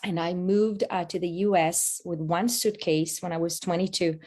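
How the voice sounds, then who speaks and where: A lively woman in her forties speaks with an Israeli accent, her Hebrew roots clear in her English. She talks directly to her audience and keeps drawing them in as she goes. The sound has the feel of a video call. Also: lowish voice, normal speed. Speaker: guest speaker. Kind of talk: live online talk.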